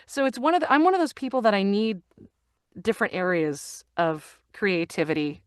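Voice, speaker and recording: Woman, middle-aged, smooth resonant voice, dry close studio sound, animated and chatty. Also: mid-range voice, normal speed, no echo. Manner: animated, enthusiastic, many restarts and fillers. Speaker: guest, voice actor and audiobook narrator. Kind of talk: casual interview chat. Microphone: studio microphone.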